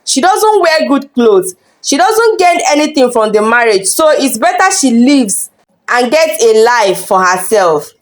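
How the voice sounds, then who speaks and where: A woman's voice: on the high side, sing-song, unhurried, poor audio. A woman narrating a scripted dramatic folktale as a storyteller.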